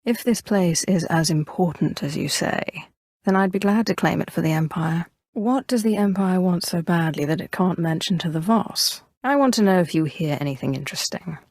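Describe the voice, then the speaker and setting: An actress voicing a cold, haughty, calculating Sith woman in a video game, with crisp, imperious and deliberate delivery. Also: on the low side, animated, a little mellow, no echo, clean studio sound. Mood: controlled, dry. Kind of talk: video game character dialogue.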